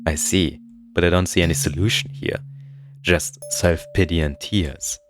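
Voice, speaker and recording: A man doing a cold, calm intruder. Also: low-pitched, natural voice. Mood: coldly calm. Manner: flat, slow and unbothered. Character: calm, cold male intruder claiming the room.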